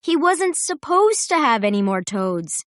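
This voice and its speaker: A woman voicing a calm, patient male teacher in a storybook read-aloud. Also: high-pitched, unhurried, no echo, clean studio sound, voice pushed up.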